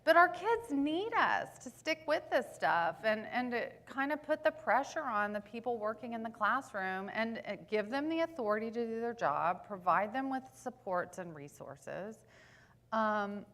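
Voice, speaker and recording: A woman's voice: on the high side, expressive, normal speed, a bit bright. A woman school board member on a panel, speaking candidly and telling stories, sometimes losing her thread. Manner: candid and anecdotal, laughing at herself, loses her thread. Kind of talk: panel discussion.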